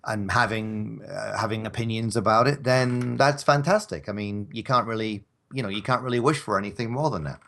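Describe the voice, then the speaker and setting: A man's voice: fairly low pitch, steady tone, brisk, gravelly, hardly any pauses, fairly bright tone. A man co-hosting a casual talk show, bantering about films in a rambling, wry, conversational way. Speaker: co-host of an audio drama talk show.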